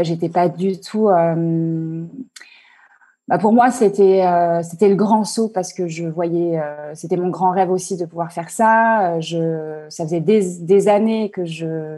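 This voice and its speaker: A woman coach answering interview questions on a video call, halting and full of fillers and restarts. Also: fairly low pitch, mellow, breathy edge. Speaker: online coach and entrepreneur.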